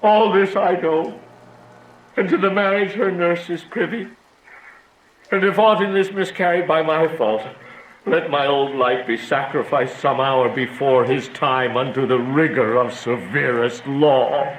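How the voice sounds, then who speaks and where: An actor playing an anguished old friar in a Shakespearean audio drama, speaking solemnly and formally in halting phrases. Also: male voice, fairly high pitch, steady tone, close to an everyday voice. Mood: resigned. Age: older. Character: anguished old friar confessing his part in tragedy. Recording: echoey room, audible background hiss.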